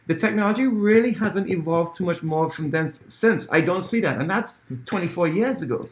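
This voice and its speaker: A man's voice: high voice, animated, a little fast, echoey room. A man in his forties from Trinidad and Tobago, co-hosting a casual chat and telling stories from his swimming days. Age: 40s. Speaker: podcast co-host, former swimmer.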